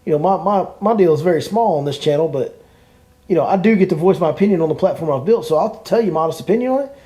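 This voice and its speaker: Man, podcast host, mellow voice, fairly high pitch, emphatic, repetitive. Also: animated, quick pace. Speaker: podcast host and fishing guide. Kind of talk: opinionated podcast chat.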